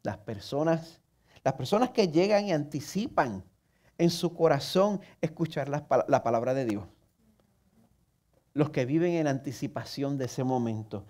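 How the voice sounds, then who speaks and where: A man's voice: on the high side, lively intonation, moderate pace, mellow. Male pastor, Puerto Rican accent, earnest sermon delivery, direct rhetorical questions, a touch of self-mocking humor. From Puerto Rico.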